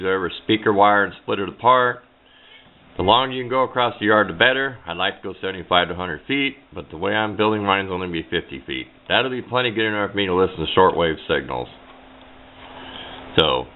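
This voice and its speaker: A man with a low voice talks through a hands-on demonstration like a hobbyist. His delivery is plain-spoken and offhand, wandering as he goes. The sound is tinny and narrow, like a cheap camera microphone. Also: slight background noise. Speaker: hobbyist presenter. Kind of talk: casual hands-on how-to demonstration. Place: indoors at a workbench.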